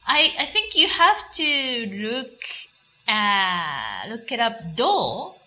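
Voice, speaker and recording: Female teacher co-host, higher bright voice, slow and patient, repeats words. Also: very animated. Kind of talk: explaining words in a casual lesson.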